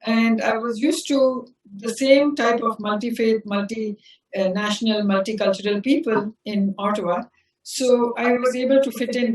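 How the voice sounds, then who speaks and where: An older woman from South India, an interview guest and community leader, telling her story in an unhurried way and often restarting her phrases. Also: on the high side, darkish tone. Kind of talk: reflective personal storytelling. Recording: roomy sound from a distant mic.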